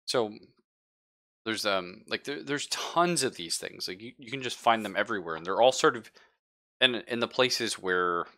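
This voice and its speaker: A man acting as game master, narrating casually and haltingly as he thinks aloud. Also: lowish voice, expressive, sharp tone. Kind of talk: casual narration of a tabletop roleplaying game, live stream.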